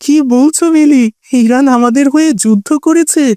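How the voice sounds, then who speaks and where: Give me a woman playing a young cartoon boy, earnest and exclamatory, with a soft tone and dry sound. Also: high-pitched, moderate pace, dry recording, natural voice. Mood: amazed, hopeful. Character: earnest young refugee boy, amazed and hopeful. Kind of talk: cartoon story dialogue.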